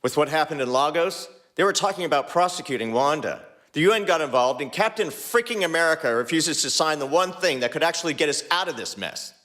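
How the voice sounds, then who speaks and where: A man's voice: expressive, a little fast, hardly any pauses, fairly bright tone. A man reading the dialogue of a fan fiction aloud, shifting his voice between characters. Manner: voices several characters by shifting pitch and pace. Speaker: podcast co-host reading fanfiction.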